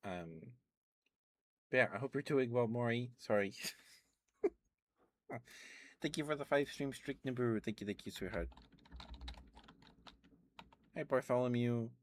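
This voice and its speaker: A man's voice: medium pitch, animated, slow, long pauses, no echo. A man streaming ASMR, rambling casually to live viewers with frequent ums and little laughs. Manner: meandering, chatty, lots of ums and little laughs.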